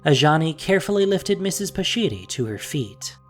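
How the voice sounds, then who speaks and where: A narrator with a measured, dramatic storytelling manner, reading a scripted audio drama. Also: mid-range voice, very animated, soft background music. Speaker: podcast narrator and producer.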